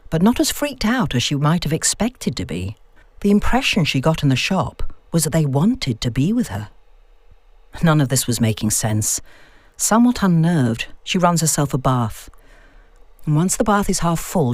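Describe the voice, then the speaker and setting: A woman narrates a scripted story in a measured, expressive way. Her pitch moves freely and keeps the reading animated. The recording is very dry and close, with no sense of a room. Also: dry recording. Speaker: audiobook narrator.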